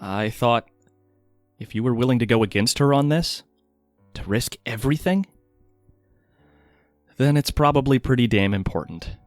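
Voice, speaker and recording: A man's voice: mid-range voice, normal speed, pauses often, no echo, faint music behind, natural voice. An actor playing a guarded, wry male mage inventor in an audio drama, speaking hesitantly and intimately. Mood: guarded, earnest.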